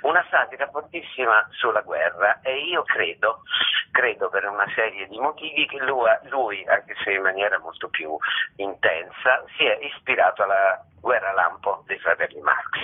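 A man with a low, rough-edged voice talks spontaneously as a radio interview guest. He is discursive and emphatic, often repeating himself. The line sounds like a narrow telephone connection. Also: lively intonation, moderate pace. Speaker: theatre director, interview guest.

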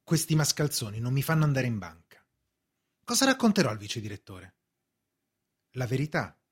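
An actor playing an indignant, bewildered bank clerk of thirty in a theatrical radio drama, protesting and questioning. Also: male voice, mid-range voice, very animated, moderate pace, breathy edge. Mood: stubborn.

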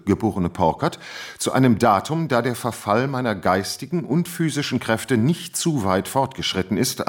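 An actor playing a polite but persistent institute boss in a radio play, formal and slightly hesitant. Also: male voice, mid-range voice, slightly rough.

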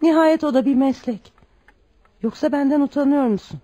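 Tender widowed mother played by a woman, mellow voice. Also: high voice, moderate pace, natural voice. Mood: firm, tender. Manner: pleading, tender, emphatic. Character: devoted, self-sacrificing widowed mother working as a maid. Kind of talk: scripted radio drama acting.

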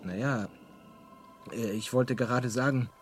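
A man's voice: mid-range voice, a little slow, a little hiss or hum, natural voice. A man playing a modest, flustered Earthman in a radio drama, hesitant and forever correcting himself. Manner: stammering, hedging, self-correcting.

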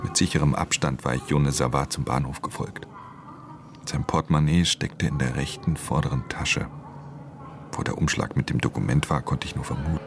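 A man's voice: fairly even intonation, moderate pace, noisy background. An actor playing a weary, guarded pickpocket who tells his own story in a German radio drama, restrained and sombre. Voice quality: very deep, gravelly. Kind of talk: German radio drama, first-person narration and dialogue.

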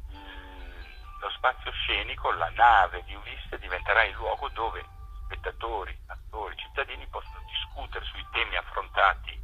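A man explaining a project as an interview guest over a telephone line, pausing to search for words. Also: low voice, sharp tone. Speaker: theatre maker interviewed as guest. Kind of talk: radio interview, explanatory talk. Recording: tinny, rough telephone line.

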